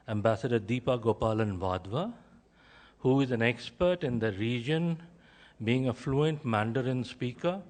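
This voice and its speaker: A man chairing a conference session, introducing the speakers in a measured, formal way and pausing between list items. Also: mid-range voice.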